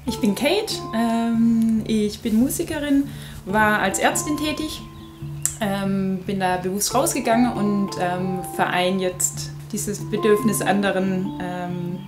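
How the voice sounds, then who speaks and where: A woman in her thirties introduces herself in an interview, measured and pausing to find her words. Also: mid-range voice. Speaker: musician and workshop leader. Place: indoor music studio. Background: guitar-like instrumental music.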